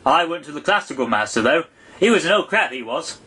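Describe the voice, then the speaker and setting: A man's voice: on the high side, sing-song, brisk, sharp tone, slightly rough, voice pushed up, heavily acted. A man doing a scolding gryphon. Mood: brusque. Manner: brusque, scolding. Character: gruff, impatient male gryphon.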